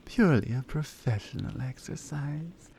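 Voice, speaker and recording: A woman voicing a strong, flirty lioness blacksmith in a roleplay ASMR audio, coaxing and gently teasing, yet considerate. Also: extremely low pitch, lively intonation, moderate pace, vocal fry, a little hiss or hum, pitched below the performer's own voice, exaggerated voice.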